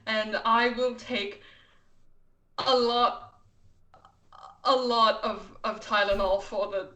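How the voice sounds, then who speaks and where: A woman playing a flustered, frazzled space officer who talks in a halting, evasive way in an improvised game. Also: a little high, steady tone, pushed and bright, echoey room, muffled recording. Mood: sheepish, weary.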